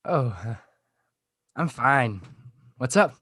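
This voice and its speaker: An exasperated, defensive teenage boy, played by a man in a comedy table read. He speaks haltingly at a middle pitch, with a very dry, close sound. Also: expressive, fairly bright tone, no echo. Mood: awkward. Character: embarrassed, defensive, dumped teenage high school boy.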